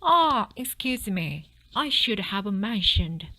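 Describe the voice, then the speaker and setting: A woman voicing a serious male dentist in a storybook, crafty and polite, with a firm, formal, measured manner. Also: mid-range voice, big pitch swings, bright, forceful tone, heavily acted, low-quality recording. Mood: calmly polite.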